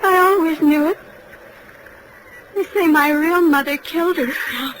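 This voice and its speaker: A woman's voice: high voice, unhurried. An actress playing a troubled, haunted young woman in an overwrought, melodramatic 1940s radio detective drama style, on a noisy old recording. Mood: brooding, confessional. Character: troubled, haunted young woman who believes herself evil.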